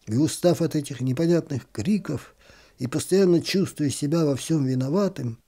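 A man reading a literary story aloud in an expressive, gently ironic storytelling manner. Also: a little high, moderate pace.

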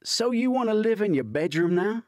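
A brash, mocking buddy from an audiobook thriller, acted out by a male reader. He needles in punchy, exclamatory bursts, pitched high and swinging widely. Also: animated, normal speed, a little mellow, no room sound, clean studio sound. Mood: teasing. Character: brash, mocking man, pushy teasing veteran buddy.

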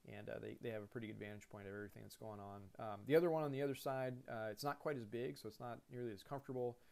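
Gravelly-voiced man explaining a cockpit. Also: mid-range voice, rapid-fire. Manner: relaxed and conversational, heavy with fillers and restarts. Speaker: airline pilot giving a cockpit tour. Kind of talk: casual screen-share lesson. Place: indoors at a computer.

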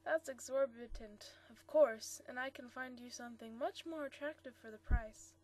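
A woman's voice: sits high, measured pace, darkish tone. A woman doing a stammering, nervous old antique dealer. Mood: anxious. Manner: stammering, pleading.

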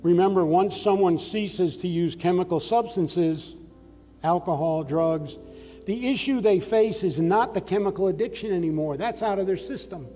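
A man's voice: sits high, moderate pace. An older man from Massachusetts preaching a sermon on a church stage, giving earnest, plainspoken personal testimony. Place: large church sanctuary stage. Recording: long hall reverb, soft music behind.

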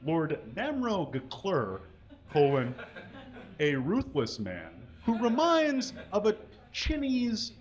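A man giving a live comedic reading of fan fiction on a small stage, mostly dramatic with deadpan asides, reading punctuation aloud. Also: sits high, slow pace. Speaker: comedic reader. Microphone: stand mic. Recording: dull, lo-fi live recording.

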